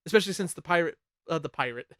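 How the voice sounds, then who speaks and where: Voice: mid-range voice, lively intonation, rapid-fire, fairly bright tone, no echo, studio quality. A host chatting casually while ranking songs on a panel show, jokey, profane and talkative.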